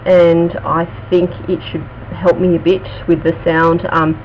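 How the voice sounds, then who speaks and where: An Australian woman chatting casually on a live stream about her true crime videos, with a halting, stuttering delivery full of fillers and restarts. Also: fairly low pitch, darkish tone, lo-fi sound, a little hiss or hum. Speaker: true crime channel host.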